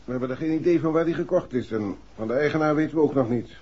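A husky male voice plays a polite police inspector in an old radio play. He explains in a weary, matter-of-fact way, and the recording is muffled. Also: on the high side, very fast, a little mellow. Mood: polite, businesslike. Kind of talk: 1960s radio drama acting.

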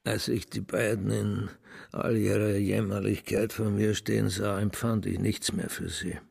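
An actor playing a bitter, contemptuous old businessman father telling his story in a scornful, deliberate radio-drama monologue. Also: lowish voice, flat delivery, darkish tone, full character voice. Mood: cold contempt. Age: older. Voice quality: gravelly, creaky.

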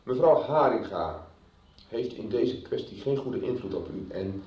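A stern male school authority in a radio soap. He is formal and threatening, with a lively range. The dull recording puts him at some distance from the mic. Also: mid-range voice, measured pace, roomy sound. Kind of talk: 1990s radio drama acting.

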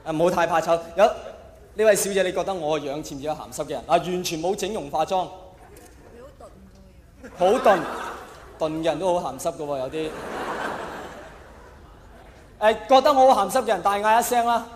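A man's voice: high voice, fast, long pauses. A man with a Hong Kong Cantonese accent performing live stand-up, theatrical and full of rhetorical questions for the audience. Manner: theatrical, acts out quoted characters. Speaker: stand-up comedian. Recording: echoey hall, long reverb, faint noise.

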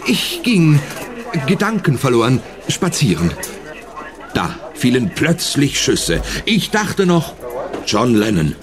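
An actor playing a self-absorbed, excitable male thespian with a theatrical, self-admiring manner in a German radio play. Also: medium pitch, slow, pauses often, crowd noise. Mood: dramatic, dreamy.

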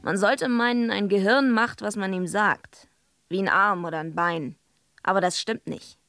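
A woman's voice: medium pitch, moderate pace, fairly bright tone, faint music behind, lightly acted. A woman playing a tough young female wrestler in a German radio drama, speaking in a blunt, dry, unpolished way. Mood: dry, brooding. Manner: blunt, dry, unpolished first-person telling. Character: tough young female wrestler narrating her crime story.

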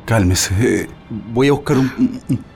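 A man acting a gentle, worried companion who speaks hesitantly in a radio drama. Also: mid-range voice, slow pace, gravelly, soft background music. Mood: worried, soothing. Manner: hesitant, concerned. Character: worried, gentle man soothing a distressed woman.

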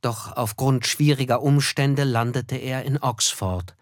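An actor playing a reflective, melancholy narrator who looks back, telling a literary radio drama in measured, thoughtful phrases. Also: male voice, mid-range voice, dry recording, clean studio sound, natural voice.

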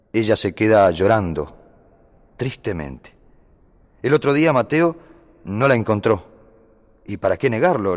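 A man reciting humorous poetic prose in a measured, deliberate way, with dramatic emphasis. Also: fairly low pitch. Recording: long reverberant hall sound.

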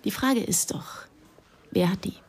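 An actress playing a stern, persistent police investigator in a German radio crime drama, with a dry, probing manner. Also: female voice, mid-range voice, unhurried, a little mellow, breathy edge, whispered. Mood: pressing, dry.

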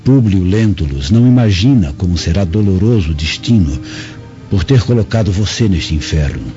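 Proud bitter dad out for revenge, radio play, solemn, low mellow voice, music behind. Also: male voice, expressive, highs cut off. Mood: vengeful resolve. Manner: solemn, measured, reading out a letter. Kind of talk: radio drama monologue.

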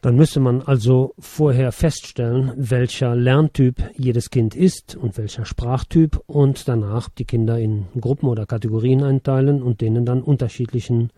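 A man's voice: medium pitch, steady tone, moderate pace, hardly any pauses, mellow, no room sound, clean studio sound. A man hosting an interview, asking composed questions and summing up what his guest says. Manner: composed, summarizing. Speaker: radio interviewer.

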